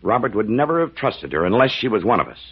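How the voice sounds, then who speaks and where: An actor in an old radio drama playing an earnest, trusting middle-aged doctor with theatrical delivery. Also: male voice, on the low side, big pitch swings, fast talker, slightly rough. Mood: disbelieving. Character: earnest, trusting doctor, father of grown daughter. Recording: noisy, muffled old radio recording.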